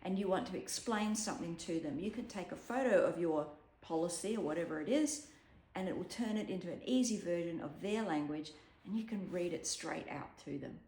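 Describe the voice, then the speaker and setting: Woman, app demo presenter, low-ish voice, casual and talkative, echoey home room. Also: fairly quick, a little mellow. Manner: chatty, explanatory, frequent ums. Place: home room with a dog around.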